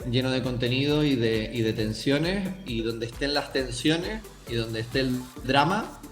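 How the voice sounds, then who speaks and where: Young Canarian male author, mid-pitched, thoughtful interview guest, roomy sound. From Canary Islands, Tenerife. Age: young adult. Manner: thoughtful, addresses listeners directly. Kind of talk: reflective interview answers.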